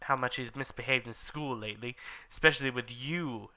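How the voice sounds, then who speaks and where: A mean old wizard headmaster, as a man voices him in a comic fan fiction reading. He sneers and brushes people off with grumpy contempt. The voice is crisp and bright. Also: medium pitch, brisk. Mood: sneering.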